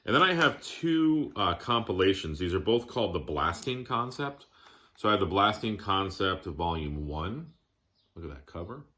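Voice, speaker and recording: A man giving a casual show-and-tell of his collection, plainspoken, listing items in short, halting phrases. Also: sits low, sing-song, pushed and bright.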